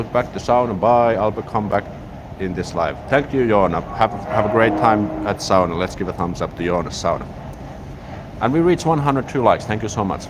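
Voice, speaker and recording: Finnish-accented man, deep voice, laid-back stream host, clear street noise, phone on a gimbal. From Finland. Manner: relaxed, rambling, frequent restarts. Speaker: YouTube live streamer. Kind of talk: casual live-stream chat, reading viewer comments. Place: outdoors in a city, near a train station. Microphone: smartphone on a gimbal. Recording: outdoor street noise behind.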